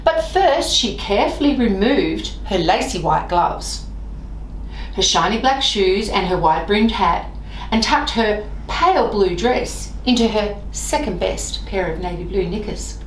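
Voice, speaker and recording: Expressive woman reading aloud in echoey room. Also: medium pitch, far from the mic. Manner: expressive, deliberate, sing-song on repeated phrases. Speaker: library staff member reading stories. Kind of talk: children's storybook read-aloud. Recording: roomy echo, off-mic, faint noise.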